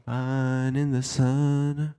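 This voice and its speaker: A man's voice: mid-range voice, monotone, very slow, warm tone, clear, resonant tone, voice pushed up. A man singing unprocessed vocal takes as Mr Bob, a man looking for a job, with a whispery voice over a music track. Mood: sung.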